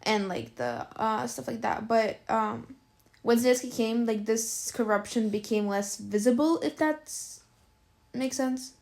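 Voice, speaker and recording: A woman's voice: a little high, animated, unhurried, creaky, a little mellow. A young woman from Ukraine, a Russian speaker, answering interview questions in casual, hesitant speech with many fillers.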